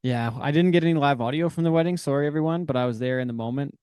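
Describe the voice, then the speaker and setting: Man, rapid joking delivery, dry close mic, podcast co-host. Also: fairly high pitch, a little mellow, clear, resonant tone, no echo. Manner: quick, joking, full of fillers. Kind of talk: casual chat.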